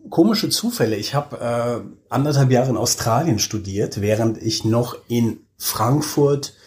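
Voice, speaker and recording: Middle aged guy from hesse, chatty interview guest, medium pitched voice. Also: animated, measured pace, darkish tone. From Hesse, Germany. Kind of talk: casual conversational interview. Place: hotel room.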